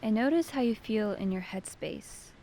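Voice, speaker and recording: A woman leading a guided meditation with gentle, soothing, unhurried instruction. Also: medium pitch, animated, vocal fry, slight background noise.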